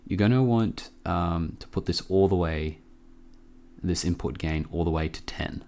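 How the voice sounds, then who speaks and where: Low-voiced man giving an offhand tutorial. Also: a little mellow. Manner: offhand, halting, low-key. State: sick with a cough. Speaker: tutorial presenter. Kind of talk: casual screen-recorded software tutorial. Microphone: close microphone.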